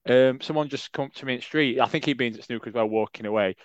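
A man with a Sheffield accent, co-hosting a casual football podcast, self-deprecating and quick to laugh. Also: medium pitch, no room sound, muffled recording. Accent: Sheffield English. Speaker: podcast co-host. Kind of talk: casual chat.

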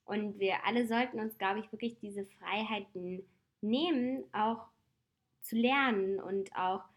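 A woman in her twenties with a slight cold, hosting a casual personal advice talk in a reflective, chatty way with soft laughs. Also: medium pitch, unhurried. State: has a cold.

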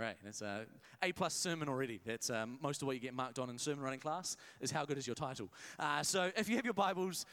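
A young man preaches to his church, full of energy and quick jokes. He keeps restarting his phrases as he goes. His voice sits a little high and comes through smooth and clear. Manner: energetic, many restarts, jokey asides. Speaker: pastor. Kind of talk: sermon. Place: church service in a hired venue.

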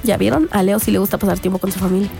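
A teenage girl, co-hosting a school radio show in casual chat, slightly hoarse and joking around. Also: medium pitch, steady tone, racing, mellow, faint music behind. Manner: playful, jokey. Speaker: student radio co-host.

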